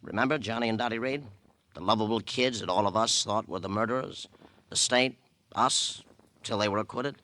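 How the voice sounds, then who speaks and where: A wisecracking insurance boss in an old radio crime play. The voice is lowish but bright and forceful. He grumbles and wheedles, always put-upon and full of himself. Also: brisk. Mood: wry, knowing. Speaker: actor in a radio crime drama. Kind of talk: 1950 radio character acting.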